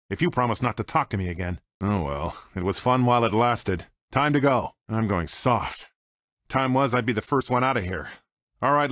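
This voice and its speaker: An actor playing a cocky, grumbling smuggler pilot in a video game, with an American accent and short sardonic quips. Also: male voice, fairly low pitch, fairly bright tone, no echo, studio quality. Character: cocky, wry male smuggler pilot, grumbling reluctant hero. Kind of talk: video game character voice lines.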